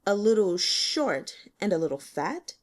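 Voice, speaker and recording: A woman's voice: mid-range voice, sing-song, measured pace. Woman reading as a brusque male investigator. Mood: probing. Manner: brusque, matter-of-fact. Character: brusque, probing male investigator who smokes. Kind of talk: audiobook character acting.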